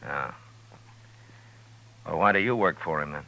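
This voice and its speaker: Deep-voiced, tough frontier marshal. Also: male voice, lively intonation, measured pace, creaky, bright, forceful tone, heavily acted. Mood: probing, casual. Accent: American. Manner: terse, dry, probing. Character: tough, skeptical, world-weary frontier US marshal. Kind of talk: 1950s radio drama acting. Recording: noisy vintage 1950s radio recording.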